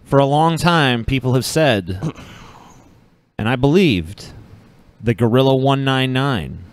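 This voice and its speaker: Matter-of-fact man, mid-pitched voice. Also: expressive, slow, no echo. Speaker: podcast co-host. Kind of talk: casual podcast chat.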